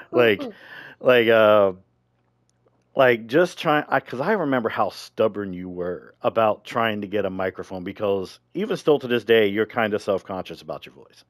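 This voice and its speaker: A man's voice: lowish voice, expressive, unhurried, no room sound, clean studio sound. A man co-hosting a podcast, chatting casually and informally with a friend, with some swearing. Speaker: podcast co-host. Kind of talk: casual rambling chat with a friend.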